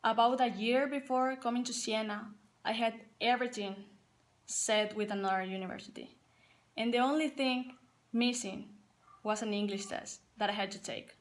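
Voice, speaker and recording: A woman's voice: fairly high pitch, steady tone. A young woman from Paraguay, a Spanish speaker with a Paraguayan Spanish accent, a college soccer player giving an earnest, careful prepared personal speech. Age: young adult. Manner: earnest, careful and measured, with some non-native phrasing.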